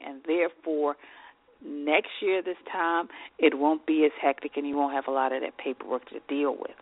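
Woman, nasal and congested, podcast host reading tips, fry in voice, dry close sound. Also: low-pitched, no echo. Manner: reads lists of tips with fillers. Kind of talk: advice podcast talk. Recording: tinny phone-like line.

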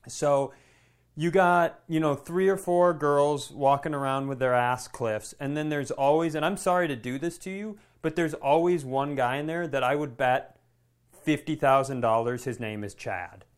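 A man who works as a comedian, co-hosting a podcast with animated, exaggerated, sweary storytelling in casual banter. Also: on the high side, normal speed, smooth.

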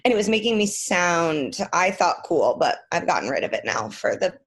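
A woman's voice: lowish voice, sing-song, normal speed. A woman comedian guest, hungover, chatting in a dry, drawling way. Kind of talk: casual chat.

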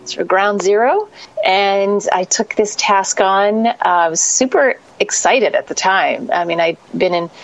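A woman's voice: medium pitch, highs cut off. A woman speaking as an organization's executive director in an interview, enthusiastic and fluent.

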